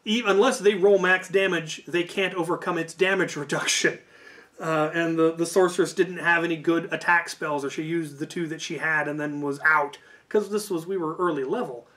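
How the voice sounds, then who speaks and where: A man telling a casual, animated story about a tabletop game, as a host who acts out quoted lines. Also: a little high.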